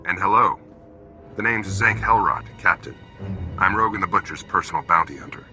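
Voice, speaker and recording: Very sharp, deep bounty hunter. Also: male voice, moderate pace. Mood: smug menace. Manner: taunting, smug. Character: menacing, swaggering armored male bounty hunter. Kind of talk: video game character acting. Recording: music under the voice.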